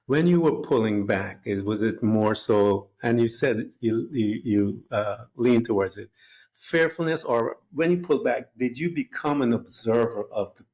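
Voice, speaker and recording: A man's voice: mid-range voice, measured pace, a little mellow. A man hosting an interview, asking questions and reflecting in an earnest, rambling way. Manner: earnest, rambling, fond of "if you will". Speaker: podcast host.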